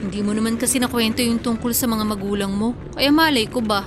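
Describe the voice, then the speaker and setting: A woman's voice: fairly high pitch, close to an everyday voice. Woman playing a tough, bantering lady tricycle driver over music. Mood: teasing. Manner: brisk, bantering, plain-spoken. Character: tough, frank, hardworking female tricycle driver, guarded in love. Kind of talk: radio drama acting.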